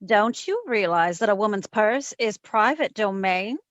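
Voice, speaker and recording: A woman playing a wisecracking, hard-boiled private detective in an old-time radio drama, telling her case in a wry, deadpan way. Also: mid-range voice, unhurried, no room sound, close to an everyday voice. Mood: teasing, evasive. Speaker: lead actor in community theatre radio recreation. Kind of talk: old-time radio detective acting, first-person narration and dialogue. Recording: dull lo-fi recording with music underneath.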